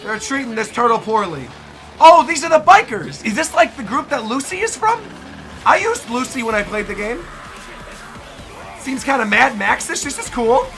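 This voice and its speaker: A man hosts a casual reaction video with a bright, forceful voice. His pitch swings widely as he exclaims and puts on playful outrage. Faint music plays behind him. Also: sing-song, moderate pace. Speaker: host reacting to game trailers.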